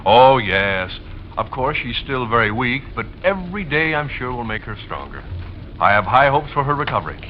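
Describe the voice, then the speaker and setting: Actor as a secretive husband, noisy old radio. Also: male voice, medium pitch, big pitch swings, normal speed, close to an everyday voice. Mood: falsely cheerful. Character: polite, evasive, secretive husband of a sick wife. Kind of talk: 1940s radio suspense acting. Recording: noisy, dull 1940s radio recording.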